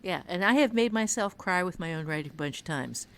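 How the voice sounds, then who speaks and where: A woman's voice: fairly low pitch, lively intonation, brisk, fairly bright tone, clear, resonant tone. A woman speaking as a writing teacher in a conversational podcast, earnest and emphatic, repeating phrases to drive her point home.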